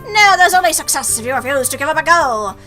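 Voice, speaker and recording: A woman voicing a proud, excitable skeleton hero from a game in a boastful, declamatory way. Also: high-pitched, quick pace, faint music behind.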